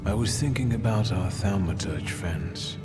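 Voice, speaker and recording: An actor playing a brooding, resolute gentleman mystic in a dramatic video game, speaking tersely, gravely and deliberately. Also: low voice, expressive. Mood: pensive, resolved. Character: brooding, resolute young gentleman thaumaturge in 1900s Warsaw. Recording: loud music under the voice.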